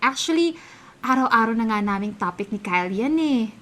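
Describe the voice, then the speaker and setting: Pushy filipina bestie, high voice, opinionated, gossipy, emphatic, radio drama. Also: female voice, expressive, normal speed, fairly bright tone. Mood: insistent. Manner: emphatic, conversational. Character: opinionated, blunt young female friend, pushy and gossipy.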